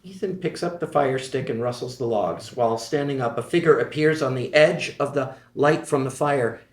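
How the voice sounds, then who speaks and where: A man's voice: medium pitch, moderate pace. A man reading screenplay stage directions in a plain, matter-of-fact way. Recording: roomy echo.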